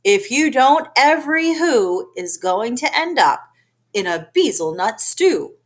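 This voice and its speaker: A woman's voice: a little high, big pitch swings, slow. A woman voicing an earnest, kindly male elephant in a children's storybook, pleading and urgent. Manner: urgent, imploring. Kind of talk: children's storybook read-aloud.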